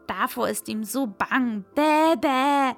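A woman's voice: high-pitched, animated, slow pace, creaky, pushed and bright, faint music behind, pitched above the performer's own voice, exaggerated voice. A woman voicing a wild, bossy robber girl in a read-aloud fairy tale, brash and teasing.

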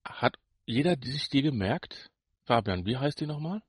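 A man's voice: medium pitch, lively intonation, normal speed, breathy edge, dry recording, clean studio sound. A man co-hosting a podcast in casual banter, with a teasing, jokey manner. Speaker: podcast co-host.

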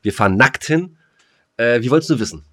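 A middle-aged man co-hosting a podcast in casual, joking chat, halting and repeating himself for emphasis. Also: medium pitch, animated, pushed and bright, no echo, clean studio sound. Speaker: podcast co-host.

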